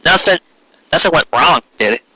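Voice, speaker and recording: A man's voice: a little high, animated, normal speed, bright, forceful tone, slightly rough, no room sound, pitched above the performer's own voice, heavily acted, poor audio. A man voicing an anxious male pony in a story, flustered and apologetic, with a nervous stammer.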